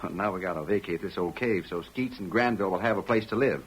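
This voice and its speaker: A man's voice: low-pitched, fast, breathy edge. An actor playing a shrewd male Old West homesteader in rural American country speech, in 1940s radio style on an old recording. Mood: pleased, gloating.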